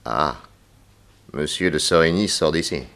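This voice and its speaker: Very deep-voiced, grave detective. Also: male voice, creaky, fairly bright tone, heavily acted. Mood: inquisitive, assured. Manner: formal, deliberate, insistent. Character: grave, persuasive male detective, solemnly warning a young woman. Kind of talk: 1960s French radio detective serial acting.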